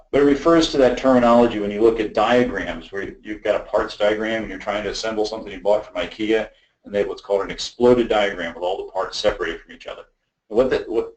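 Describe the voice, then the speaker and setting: Male webinar presenter, lower register, explains technical design informally and haltingly, roomy echoing pickup. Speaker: audio system design presenter.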